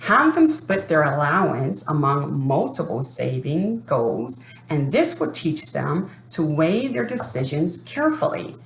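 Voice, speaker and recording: Slow-paced woman reading aloud, echoey room. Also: sits low. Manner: deliberate, expressive, addresses children with questions. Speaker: educator reading a children's book aloud. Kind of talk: read-aloud storytelling and talk to families. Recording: echoey room with faint background noise.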